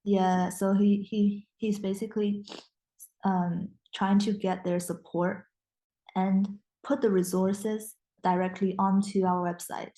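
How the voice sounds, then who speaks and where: A woman's voice: mid-range voice, flat delivery, slow, dark tone, poor audio. A young woman whose first language is Mandarin, a guest in a casual interview, speaking English with frequent restarts and fillers. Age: young adult. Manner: hesitant, many restarts and fillers.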